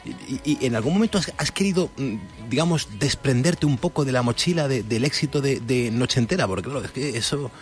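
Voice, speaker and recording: A man's voice: mid-range voice, expressive, brisk, few pauses, soft background music. A man hosting a radio interview in a studio, enthusiastic, repeating phrases and stumbling over his words. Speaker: radio host.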